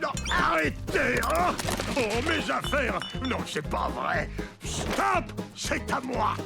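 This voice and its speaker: A woman's voice: high-pitched, big pitch swings, takes it slowly, gravelly, loud music behind the voice, full character voice. An actress playing an anxious, harmless male donut seller in a children's cartoon, flustered and exclaiming. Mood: panicked.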